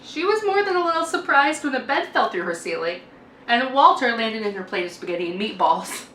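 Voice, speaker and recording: A woman narrates a picture book for children with expressive, deliberate emphasis. Her voice is fairly high and very bright. The recording is roomy, with the microphone some way off. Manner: deliberate emphasis and dramatic sing-song lists. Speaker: teacher reading aloud. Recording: roomy, distant microphone, faint noise.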